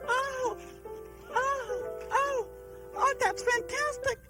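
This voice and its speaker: A tiny, miserable little girl with a very high, piping voice whines and sobs. She repeats her own words back. Music plays loudly behind her in this cartoon. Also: steady tone, very slow, heavily acted. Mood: overjoyed. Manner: whiny, sobbing, repeats herself.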